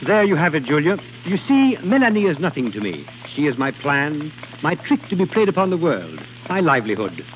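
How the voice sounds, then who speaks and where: An actor playing a cool, unsentimental aristocratic adventurer, speaking in a clipped, formal, controlled way in an old-time radio drama. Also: male voice, on the high side, huge pitch swings, fairly quick, hardly any pauses. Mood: detached. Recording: tinny, noisy vintage radio recording.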